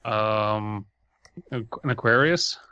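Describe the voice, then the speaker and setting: A man co-hosting a podcast, chatting casually with deadpan jokes and critical asides. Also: lowish voice, very slow, smooth, no echo, dull audio. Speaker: podcast co-host. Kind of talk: casual joking chat and critique.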